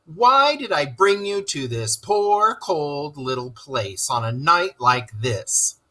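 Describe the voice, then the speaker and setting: Woeful storybook mole, put on by a reader, plaintive and moaning, husky edge, lifted swooping pitch. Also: very animated, slow pace, bright, forceful tone, heavily acted. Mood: dismal.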